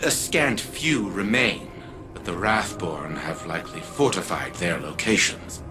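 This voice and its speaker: An actor playing an arrogant old scientist turned artificial mind in a video game, formal and condescending. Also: male voice, deep voice, expressive, unhurried. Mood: grave, imperious. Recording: loud music under the voice.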